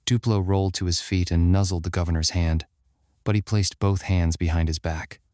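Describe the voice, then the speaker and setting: A man reading scripted fiction as a narrator, voicing dialogue in a measured, dramatic storytelling manner. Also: deep voice, fairly even intonation, dark tone, no room sound, clean studio sound. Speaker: audiobook narrator.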